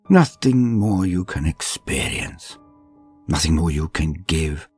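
Man doing a sadistic alien torturer, male, deep, gravelly, husky whisper, menacing, slow. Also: darkish tone, heavily acted. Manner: slow, menacing, savoring each threat. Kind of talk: dramatic first-person villain monologue.

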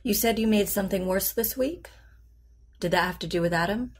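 A woman playing a composed, probing therapist in an audio drama, measured and clinical in her questions. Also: medium pitch, husky, close to an everyday voice. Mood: measured, inquiring. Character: composed, probing female therapist to a teenage patient. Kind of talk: naturalistic audio drama dialogue.

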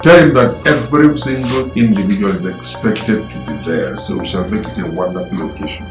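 A man's voice: fairly low pitch, brisk, natural voice. An actor playing a commanding village king who gives orders. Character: commanding male village king, middle-aged. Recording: roomy with music behind.

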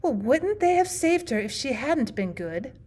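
A bossy small storybook girl , read by a woman. She has a raised, girlish pitch. She is outspoken and demanding, speaking with full conviction. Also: very animated, a little slow, a little mellow, full character voice.

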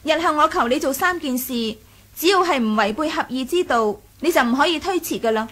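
A woman playing a sly, self-assured young noblewoman in a radio drama, playful and deliberate, with a Hong Kong Cantonese accent. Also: sits high, lively intonation, a bit bright. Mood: composed.

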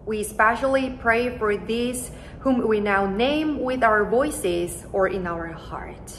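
A young woman, a native Korean speaker, leading prayer as an associate pastor in a church sanctuary, speaking slowly and solemnly from a pulpit. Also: on the high side, fairly bright tone, a little hiss or hum. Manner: slow, solemn and measured.

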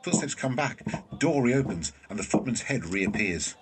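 Low-voiced male narrator, slightly distant. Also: lively intonation, normal speed, slightly rough. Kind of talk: scripted narration, reading stage directions. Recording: distant mic, faint ambience.

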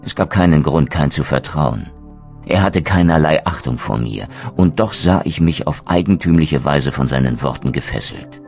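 Sehr tiefer, düsterer Wraith-Krieger. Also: male voice, extremely low pitch, animated, normal speed, a little mellow, faint music behind. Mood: brooding. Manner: solemn, eloquent, theatrical. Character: bitter, proud undead wraith warrior, male. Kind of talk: dramatic video game narration and dialogue.

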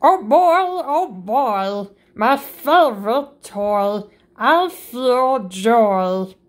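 Woman storybook reader, very slow and sing-song, fairly high voice, springy on the sound words, read-aloud for kids. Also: expressive.

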